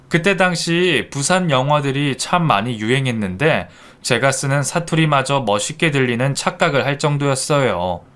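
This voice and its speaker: Calm male storyteller, middle pitch. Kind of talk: scripted first-person story narration.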